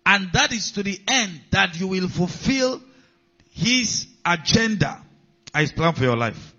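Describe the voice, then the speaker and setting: Male preacher, bright tense voice, muffled lo-fi recording, repeats lines, asks listeners if they follow. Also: high-pitched, expressive, unhurried. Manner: preaching with repetition and call-outs to the congregation. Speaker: pastor. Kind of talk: sermon. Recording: dull lo-fi sound.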